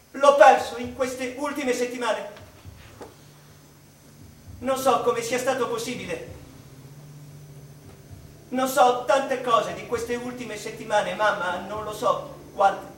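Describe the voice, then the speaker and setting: Troubled oddball math genius, really high voice for a man, theatrical, far off in echoey room. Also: a bit bright, full character voice. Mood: sad, weary. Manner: emphatic, declamatory, with deliberate repetitions. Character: tormented, eccentric male mathematician addressing his mother. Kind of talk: theatrical radio drama monologue. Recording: distant echoey room with faint background noise.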